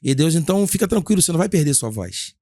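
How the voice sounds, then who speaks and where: Husky Brazilian gospel singer, earnest. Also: male voice, fairly high pitch, big pitch swings, rapid-fire, dark tone, no room sound, studio quality. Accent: Brazilian Portuguese. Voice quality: husky, gravelly singing timbre. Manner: earnest storytelling with many restarts. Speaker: gospel singer and worship leader. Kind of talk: casual interview testimony.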